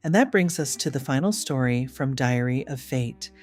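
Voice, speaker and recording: Gentle male host over plucked music. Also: mid-range voice, lively intonation, moderate pace. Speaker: host of vintage radio collection. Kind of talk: scripted sign-off narration.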